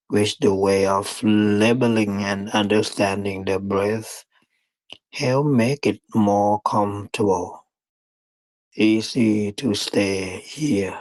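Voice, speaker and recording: Slow, low-voiced man guiding meditation. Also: a little mellow, no echo, rough recording. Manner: deliberate, halting phrasing with long pauses. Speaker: meditation teacher. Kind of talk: guided meditation dharma talk.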